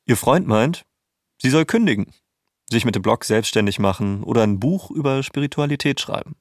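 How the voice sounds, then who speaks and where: A man's voice: medium pitch, animated, normal speed. Man narrating in an echo-free-sounding studio style. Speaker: documentary podcast host. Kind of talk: scripted narration.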